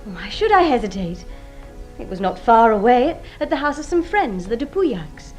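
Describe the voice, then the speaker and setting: A woman's voice: on the high side, sing-song, normal speed, darkish tone, faint music behind. An actress playing a composed, proud young lady of a wealthy household in a British radio drama, polite, precise and formal. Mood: defensive, firm.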